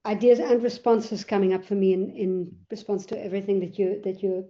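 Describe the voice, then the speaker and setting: A woman's voice: mid-range voice, level tone, fairly quick, mellow. A woman joining the discussion in an online seminar, searching for words and repeating herself, heard over a video call. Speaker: seminar participant. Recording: dull lo-fi audio.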